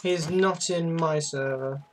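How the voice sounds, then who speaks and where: A woman's voice: low voice, slow pace, recorded from a distance, slight background noise. A young woman streaming a game, chatting casually with friends in a playful, rambling, teasing way. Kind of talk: casual gaming chat with friends.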